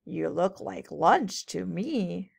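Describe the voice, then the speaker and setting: A woman's voice: on the low side, lively intonation, slow pace, gravelly, darkish tone, husky, full character voice. A woman voicing a plump, hungry female spider, coaxing and sly, in a children's storybook. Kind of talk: children's storybook read-aloud.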